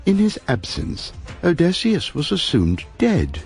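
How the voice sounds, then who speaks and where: A man's voice: a little high, huge pitch swings, unhurried, a little mellow, faint music behind. A man reading a scripted literary introduction as an audiobook narrator.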